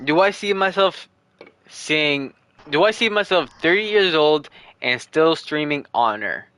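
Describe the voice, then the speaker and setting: A man's voice: a little high, expressive, unhurried, sharp tone, clean tone. Earnest rambling young man streaming. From United States. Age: 20s. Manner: earnest, rambling, repeats himself while thinking aloud. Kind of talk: casual livestream Q&A.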